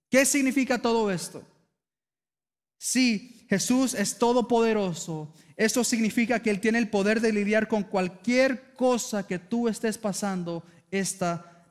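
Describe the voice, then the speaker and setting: A man with an Argentine accent preaches earnestly from a church pulpit, his voice sitting high. He calls out to the congregation for amens. The sound rings with the long reverb of a big hall. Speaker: pastor. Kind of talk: sermon. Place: church sanctuary.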